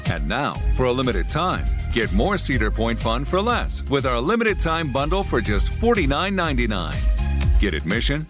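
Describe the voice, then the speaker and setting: A man reading a scripted promotional ad with a high-energy, punchy sales delivery. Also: sing-song, normal speed. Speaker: advertisement announcer. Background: music.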